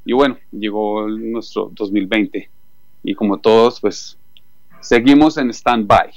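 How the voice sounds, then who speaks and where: A male musician from Chile with a Colombian accent, talking through an interview over the line with many thoughtful "eh" pauses. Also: fairly low pitch, no room sound. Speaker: musician guest. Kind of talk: phone interview.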